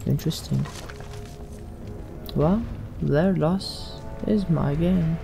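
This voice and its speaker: A man playing a calm adventurer in a roleplayed game commentary, deliberately narrating his own actions aloud. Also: on the high side, gravelly, over music, full character voice. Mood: musing. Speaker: let's player.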